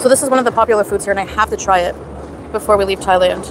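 A woman's voice: medium pitch, rapid-fire, noisy background. Chatty woman streaming from a night market. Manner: chatty, reads chat names aloud while eating. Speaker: livestreamer. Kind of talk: casual live stream chat. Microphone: phone at arm's length. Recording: outdoor phone stream with loud background music.

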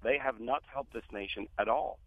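A man's voice: mid-range voice, moderate pace, no echo. Man from Iraq on a tinny phone line. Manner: earnest, repeats himself with many fillers. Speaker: guest speaker. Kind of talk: political talk radio interview.

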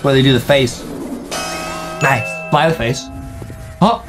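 A young American man hosting a YouTube reaction video, giving excited commentary in a hyped, exclamatory, slangy way. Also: mid-range voice, takes it slowly. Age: young adult. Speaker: YouTube host. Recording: music running behind the voice.

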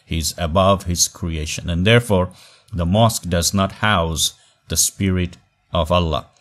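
A man with a very low voice teaches a recorded online class. He speaks in short, measured phrases and stresses key words with pauses. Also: fairly bright tone. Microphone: voice-over on slides.

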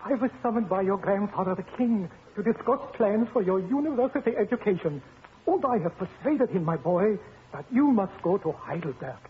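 Courtly old doctor, vintage radio. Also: male voice, high voice, animated, moderate pace, a little hiss or hum. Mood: fond, encouraging. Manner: courtly, theatrical. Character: kindly old doctor and former royal schoolmaster. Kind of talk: 1950s radio operetta acting. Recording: muffled 1950s radio broadcast, music behind.

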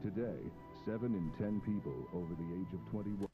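A man's voice: low voice, normal speed, lightly acted. A man playing a formal, clinical announcer narrating an eerie drug infomercial. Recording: dull lo-fi tape with music behind.

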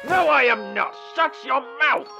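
A man voicing a pompous, prickly, old-fashioned demon gentleman with formal, theatrical delivery in a cartoon comic dub. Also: very high voice, animated, unhurried, vocal fry, over music, exaggerated voice. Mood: flustered, indignant. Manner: pompous, formal, clipped indignation.

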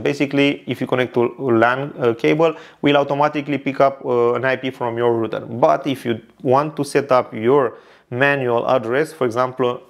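Romanian man, 30s, accented non-native English, unhurried tech tutorial, lots of "uh" and restarts, seated at a desk in a studio. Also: mid-range voice, vocal fry. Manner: careful non-native English with frequent uh fillers and repeats. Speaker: tech reviewer. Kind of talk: product review tutorial. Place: indoor studio with neon lights and shelves.

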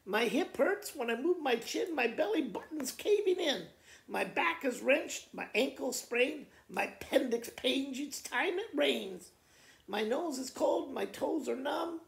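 A man's voice: very high voice, animated, measured pace, creaky, fairly bright tone, voice pushed up, full character voice. A man voicing a melodramatic little girl who is faking sickness, whiny and self-pitying as she lists complaints. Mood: whiny complaining. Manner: whiny, breathless listing of complaints. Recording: roomy indoor echo.

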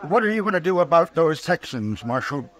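High-voiced, fretful frontier townsman. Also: very animated, brisk, a bit bright. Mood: agitated. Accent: American. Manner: nagging, fretful, urgent. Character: anxious, self-interested townsman who runs a hotel. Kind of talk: mid-century American radio western acting.

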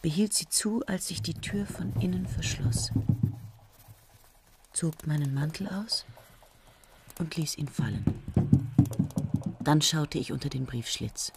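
An actress playing a tired, self-mocking woman scientist in a radio play, talking quietly and often near a whisper. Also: low voice, expressive, slow pace, long pauses, full character voice. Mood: flat narration. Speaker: lead character and narrator. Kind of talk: 1970s German radio play acting. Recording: old radio play with loud hiss.

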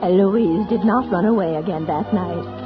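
A woman's voice: fairly low pitch, normal speed, natural voice. Woman playing old lady telling her memories, 1940s radio drama, dull sound, music under. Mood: wistful. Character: old woman singer reminiscing, wistful storyteller. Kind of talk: 1940s radio acting and narration.

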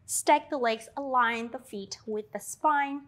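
A woman in her thirties with an Australian-tinged accent, guiding a Pilates class with slow, soothing cues and chatty asides. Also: on the high side, a bit bright. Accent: Australian-tinged English. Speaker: Pilates instructor. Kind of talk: guided exercise instruction.

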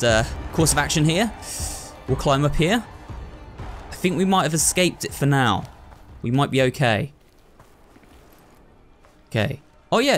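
A man's voice: on the high side, a bit bright. A man hosting a video game playthrough, thinking aloud and talking to viewers in a running commentary. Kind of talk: excitable gameplay commentary.

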